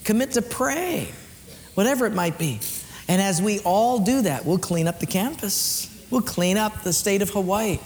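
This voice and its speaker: A man preaching an earnest sermon as pastor in a church, swinging between lifted emphatic lines and hushed ones, then leading a prayer. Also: high-pitched, lively intonation, normal speed, long reverb tail.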